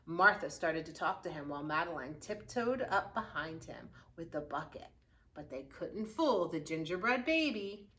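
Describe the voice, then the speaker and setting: A woman reading a children's picture book aloud with expressive, dramatic storytelling and drawn-out key words. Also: lowish voice. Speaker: youth services librarian reading aloud.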